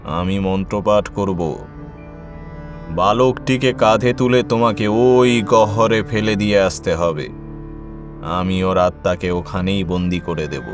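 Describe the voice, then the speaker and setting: Man as a deep, sinister family priest. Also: steady tone. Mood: coldly commanding. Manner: solemn, measured, commanding. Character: sinister male family priest, solemn, coldly commanding. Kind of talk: dramatic horror audio story acting. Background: music.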